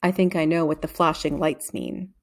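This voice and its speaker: A woman's voice: deep voice, big pitch swings, normal speed, vocal fry, pitched below the performer's own voice, exaggerated voice. A woman voicing a confident, insistent schoolboy in a children's story, earnest and assertive. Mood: serious. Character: confident, insistent schoolboy who takes charge.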